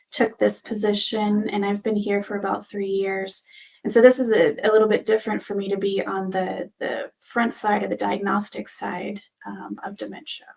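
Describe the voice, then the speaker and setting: A woman's voice: mid-range voice, gravelly, a little mellow, rough recording. A woman giving conversational answers as an interview guest about her own work. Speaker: guest physician assistant.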